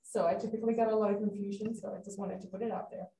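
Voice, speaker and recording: A Spanish-born woman in her thirties gives a public science lecture in a mellow, warm voice and draws the audience in with questions. She is heard from a distance in an echoey hall. A faint hiss sits underneath. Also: medium pitch, steady tone, rapid-fire. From Spain. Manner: friendly, engages the audience with questions. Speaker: guest lecturer, postdoctoral researcher. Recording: roomy hall, distant mic, light noise.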